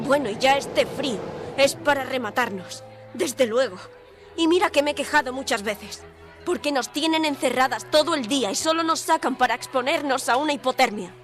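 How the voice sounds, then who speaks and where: A woman's voice: high voice, moderate pace, close to an everyday voice. An actress playing a defiant, articulate young woman reporter held in an asylum, in a dramatic audio drama. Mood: anguished. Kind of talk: audio drama narration and dialogue. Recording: music under the voice.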